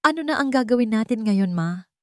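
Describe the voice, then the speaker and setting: A woman's voice: a little high, expressive, a little fast, no echo, clean studio sound, pitched above the performer's own voice, natural voice. A woman with a Filipino accent voicing a devoted grown son and father in a storybook drama, affectionately. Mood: lost, hushed. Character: devoted adult son, a softened businessman father.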